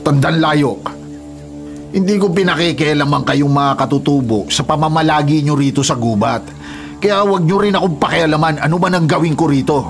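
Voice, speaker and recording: A man's voice: on the high side, brisk, soft background music, close to an everyday voice. A man playing a hot-tempered, threatening illegal logger in a Filipino radio drama, with a Filipino accent and a confrontational manner. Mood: defiant. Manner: menacing, confrontational.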